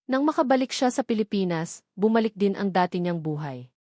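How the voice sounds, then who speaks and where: A woman's voice: mid-range voice, animated, brisk, dark tone, dry recording, studio quality. A woman with a Filipino accent reads a scripted romance story as its narrator, in a dramatic storytelling manner.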